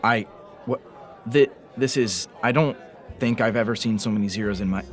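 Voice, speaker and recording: An actor playing a nervous, polite bartender in an audio drama, hesitant and apologetic. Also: male voice, on the low side, moderate pace, close to an everyday voice. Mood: stunned, excited.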